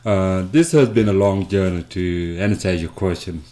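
Deep-voiced, halting South Sudanese man. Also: mellow. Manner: halting, with frequent hesitations and repeated words. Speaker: interview guest, foundation founder. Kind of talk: conversational storytelling.

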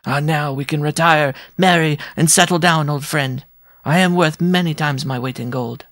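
A man reading a story aloud, voicing a tiny, proud and jealous circus dwarf in a shrill, pompous, impassioned voice. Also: a little fast, fairly bright tone, dry recording, clean studio sound. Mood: overjoyed.

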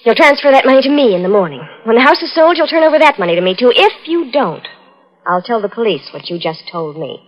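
A woman's voice: a little high, very animated, quick pace. A scheming young woman whose sweet, lilting voice hides a cold, calculating edge. She coaxes and then cuts. The sound is dull and muffled like an old radio broadcast. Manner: sweetly coaxing turning cold and cutting. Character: scheming young fiancée, coolly blackmailing her killer lover. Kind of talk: 1940s radio drama acting.

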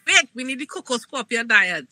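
A woman's voice: fairly high pitch, sing-song, normal speed, sharp tone, no room sound. A woman with a Trinidadian accent co-hosting a casual talk show, sassy and joking. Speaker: co-host. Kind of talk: casual chat.

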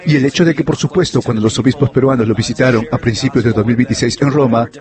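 A man giving a voice-over interpretation of an interview, relaying it in a steady, fluent way. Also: mid-range voice, fast talker, crowd noise.

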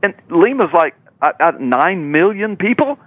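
A man's voice: sits high, very animated, a little slow, no room sound. An older man telling a personal story as a radio guest, emphatic and dramatic, often repeating phrases for effect. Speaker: radio guest, preacher and author. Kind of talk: animated personal storytelling in an interview. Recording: dull, narrow radio line, dry.

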